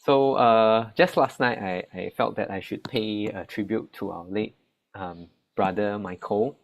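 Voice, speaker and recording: A young man with a Malaysian Chinese accent, giving a talk about relief work, halting often with fillers and restarts. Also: on the low side, creaky. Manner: hesitant, many fillers and restarts. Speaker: relief volunteer. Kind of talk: spoken talk.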